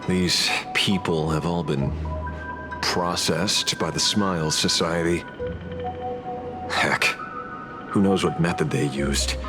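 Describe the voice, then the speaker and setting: Deep gruff detective for a video game, cocky and wry, music under it. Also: male voice. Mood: wry, troubled. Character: gruff, wry, instinct-driven male investigator. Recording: music behind the voice.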